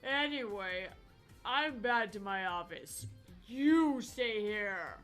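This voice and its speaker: A man's voice: high-pitched, animated, takes it slowly, fairly bright tone, faint music behind, heavily acted. A man voicing an office worker character while reading a visual novel aloud. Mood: matter-of-fact.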